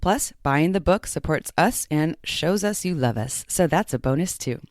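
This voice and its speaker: A woman's voice: sits low, expressive, normal speed, darkish tone, dry recording, studio quality. A woman hosting a podcast, speaking in a playful, informal and upbeat way. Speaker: podcast co-host. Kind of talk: upbeat promotional reading and casual podcast chat.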